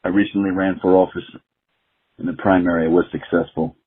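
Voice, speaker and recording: A man with a strong Rhode Island accent and a deep, very rough voice tells a casual anecdote. It sounds like a narrow phone recording. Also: little pitch movement, brisk. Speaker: listener sending a voice recording.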